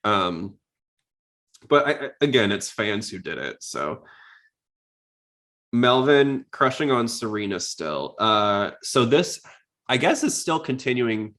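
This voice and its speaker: A man's voice: medium pitch, sing-song, slow, long pauses, a bit bright. A man hosting a podcast, talking casually about anime in a conversational way. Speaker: podcast host.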